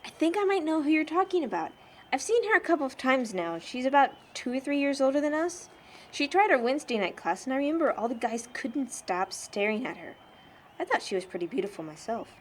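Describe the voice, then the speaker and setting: Woman acting blunt teenage girl, highish voice, background noise. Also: big pitch swings, brisk. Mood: indignant. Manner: frank, wry, slangy. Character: blunt, sardonic teenage churchgoing girl, unbothered beer drinker. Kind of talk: amateur audio drama acting.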